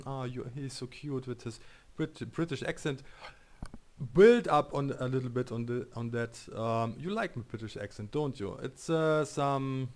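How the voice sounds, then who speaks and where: A man with a German accent streaming a game, joking and reading the choices aloud as he thinks them over. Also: mid-range voice. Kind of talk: casual let's-play commentary.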